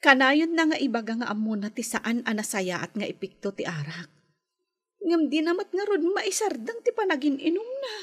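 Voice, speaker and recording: An actress playing a long-suffering, worried, nagging wife in a radio drama, husky, with an Ilocano Filipino accent. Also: female voice, high-pitched, big pitch swings, moderate pace. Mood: weary, worried. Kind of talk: Philippine radio drama acting.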